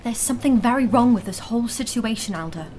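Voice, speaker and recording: A woman playing a wary, analytical adventurer in a fantasy audio drama, reasoning in a measured, formal manner. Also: fairly high pitch, expressive, darkish tone, a little hiss or hum, close to an everyday voice. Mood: wary, thoughtful. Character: analytical, wary female adventurer, composed and suspicious. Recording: music behind the voice. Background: music.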